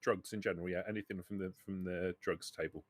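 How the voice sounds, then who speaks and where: A man's voice: low-pitched, steady tone, fast, dry recording. Casual man running a tabletop game. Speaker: game master. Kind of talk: casual tabletop game talk, speaking for characters.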